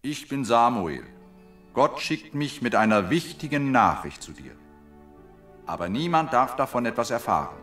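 An actor playing a solemn, authoritative messenger of God in a Bible audio drama, firm and declarative. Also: male voice, mid-range voice, sing-song, normal speed, a bit bright, faint music behind. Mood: secretive, firm.

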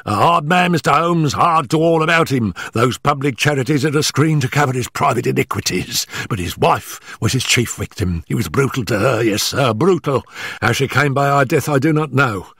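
Guy voicing a nervous twitchy manager, stutters, out of breath, kinda high and rushed, dry sound. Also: lively intonation, creaky, a bit bright, husky, no room sound, full character voice. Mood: bitter, pleading. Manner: stammering, hurried, out of breath. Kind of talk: audiobook character reading.

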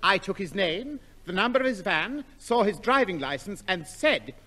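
A man's voice: high voice, sing-song, moderate pace, sharp tone, heavily acted. A man playing a fussy, defensive, apologetic fellow in a 1960s radio sitcom. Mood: earnest.

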